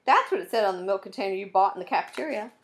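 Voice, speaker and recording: A woman voicing a calm, sharp-eyed young girl detective in a read-aloud storybook, pitching her voice up for the part. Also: rapid-fire, close to an everyday voice. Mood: eager.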